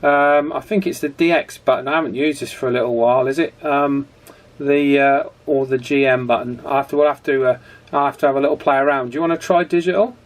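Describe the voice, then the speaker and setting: A man's voice: medium pitch, steady tone, fast talker. A man chatting casually as he hosts a radio hobby video, hesitant and conversational with frequent tag questions.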